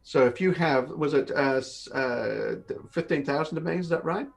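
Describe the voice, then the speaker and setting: A man's voice: on the high side, normal speed, vocal fry. A man in his fifties, born in Australia and raised in northern Canada, hosting a live webinar and explaining things step by step in a conversational way, often repeating words. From born in Australia, grew up in northern Canada. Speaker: company host of an online Q&A session. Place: home office, indoors. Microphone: video call.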